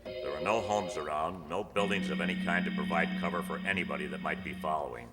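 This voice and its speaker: Man acting a low-voiced hard-boiled detective, echoey hall. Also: steady tone, fast talker. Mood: grim narration. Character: tough undercover police detective posing as a drug buyer. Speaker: actor and first-person narrator in a radio drama. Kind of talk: old-time radio crime drama acting. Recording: echoey hall, music behind.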